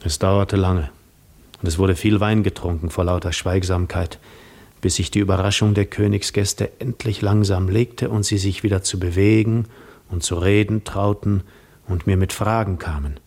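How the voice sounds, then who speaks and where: Weary, lonely Greek wanderer, lowish voice, measured literary storytelling for a 1950s radio play. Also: male voice, fairly even intonation, darkish tone. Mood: brooding. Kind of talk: 1950s German radio drama narration.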